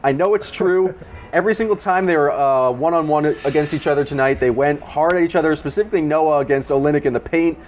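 A man's voice: a little high, quick pace, a little mellow, slight background noise. A man talking as a panelist in a casual basketball discussion. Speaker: sports panelist.

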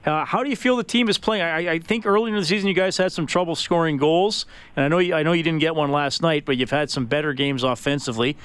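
A man's voice: on the high side, fast, hardly any pauses, a bit bright, smooth, dry recording. A man hosting a sports radio interview, often repeating words as he asks questions. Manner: stammers and repeats words while asking questions.

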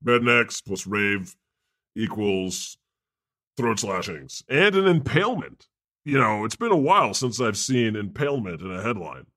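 A man's voice: on the low side, big pitch swings, unhurried, fairly bright tone, no room sound, clean studio sound. A man co-hosting a podcast with casual, irreverent, sweary comedic banter about the news. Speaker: podcast co-host.

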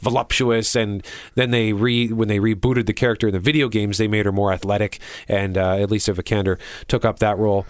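A man's voice: fairly low pitch, little pitch movement, a little fast, no room sound. A man co-hosting a show, chatting casually and with animation about entertainment. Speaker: show co-host. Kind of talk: casual chat reviewing entertainment.

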